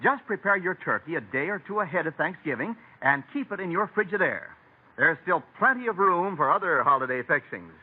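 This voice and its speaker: Cheery radio salesman, husky animated highish voice, narrow-band vintage broadcast. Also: a little fast. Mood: eager, selling. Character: eager, cheery male refrigerator pitchman. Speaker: commercial pitchman. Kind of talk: old-time radio sponsor dialogue.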